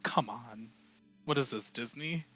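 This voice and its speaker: A man's voice: medium pitch, a little slow, loud music behind the voice. A man playing a tabletop roleplaying game, narrating his character casually with a wry, exasperated manner.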